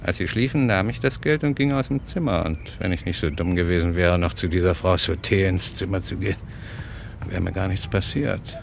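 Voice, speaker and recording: A cunning male thief turned blackmailer in an old radio crime play. His voice is low and gravelly, smug and coolly insistent, over a faintly noisy vintage recording. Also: brisk. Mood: smug, gloating. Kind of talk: 1960s German radio crime drama acting.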